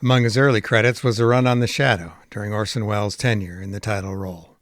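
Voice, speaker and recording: A man's voice: on the low side, fairly even intonation, fast, no echo, clean studio sound. A man reading a scripted introduction as a presenter, polished and informative. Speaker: presenter introducing an archive radio drama. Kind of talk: scripted narration.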